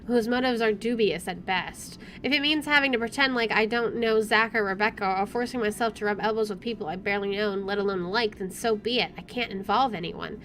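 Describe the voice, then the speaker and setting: A woman's voice: mid-range voice, a little fast, few pauses, a bit bright. A woman reading visual novel narration aloud with wry, dramatic expression over game music. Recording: music playing behind the voice.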